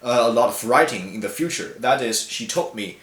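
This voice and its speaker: A young man speaks in a mid-pitched voice, thinking aloud with plenty of ums and restarts. His words ring out in a roomy, echoing hall. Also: expressive, fairly bright tone. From China. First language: Mandarin. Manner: reflective, halting with fillers and self-corrections. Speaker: speaker giving a talk. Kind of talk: personal public talk. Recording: echoey hall.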